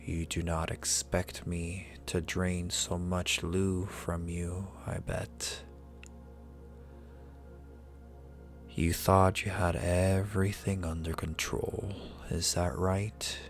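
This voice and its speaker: Ancient male dragon, performed by a man, ASMR roleplay. Extremely unhurried, even-toned, condescending yet intimate, with formal, archaic phrasing. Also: low voice, creaky, faint music behind, heavily acted. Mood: teasing, condescending. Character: ancient male dragon familiar, powerful, possessive, amused, seductive.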